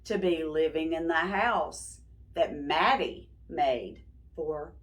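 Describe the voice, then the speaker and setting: A woman's voice: low-pitched, slow, pitched below the performer's own voice. A woman voicing a tiny, gleeful, cheeky gingerbread baby from a children's storybook. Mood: smug, content.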